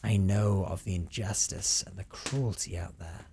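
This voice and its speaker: A man voicing a centuries-old vampire captor in an intimate audio roleplay, speaking with hushed, theatrical condescension and often dropping toward a whisper. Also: low-pitched, steady tone, a little slow, vocal fry, noisy background. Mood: measured, condescending. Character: centuries-old male vampire captor, teasing, dominant, wistful.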